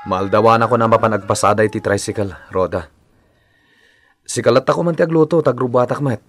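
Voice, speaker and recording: A man's voice: medium pitch, a little fast, slight background noise, natural voice. An actor playing a hardworking, long-suffering husband and father in a radio drama, weary and mildly reproachful. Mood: weary, exasperated. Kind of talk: Ilocano radio drama acting.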